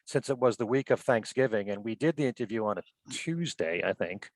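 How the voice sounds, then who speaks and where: A man hosting an interview show, telling stories in a relaxed, friendly way. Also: mid-range voice, a little fast, no room sound. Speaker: radio and podcast host. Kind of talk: casual interview chat. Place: lives in Connecticut.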